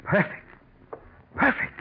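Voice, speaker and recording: A man's voice: takes it slowly, close to an everyday voice. A man playing a well-off, scheming businessman in a 1940s radio mystery, genteel and coaxing with a nervous edge. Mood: scheming, hospitable. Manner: genteel, coaxing, repeats words. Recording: scratchy, narrow-band 1940s radio recording.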